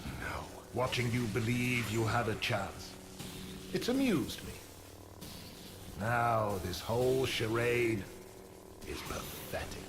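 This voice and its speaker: A man playing a gloating dark emperor in a game, slow, theatrical and full of contempt. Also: mid-range voice, over music, natural voice. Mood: cold contempt. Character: menacing, gloating dark emperor possessing a Jedi master. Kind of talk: dramatic game villain acting.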